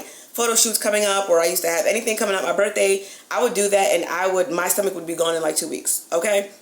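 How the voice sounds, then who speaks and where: A woman, giving casual, emphatic, sisterly advice in a colloquial vlog style with drawn-out words. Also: lowish voice. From Jamaica-born, grew up in the Bronx, New York. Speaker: YouTube host, dating and lifestyle coach. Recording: echoey room with clear background noise.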